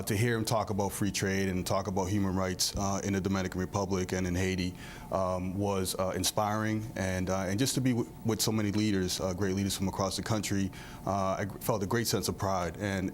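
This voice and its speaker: Deep, flat-voiced man speaking earnestly. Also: a little hiss or hum. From Massachusetts. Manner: earnest, with many fillers. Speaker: panel guest. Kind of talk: talk show interview.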